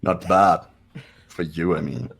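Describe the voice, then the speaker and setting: A man voices a haughty young genius with a low-pitched voice. He talks formally and gives orders with smug condescension, in the manner of a video game rival, with music playing underneath. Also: takes it slowly, gravelly, breathy edge, exaggerated voice. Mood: analytical, cool. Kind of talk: amateur voice acting in a game playthrough.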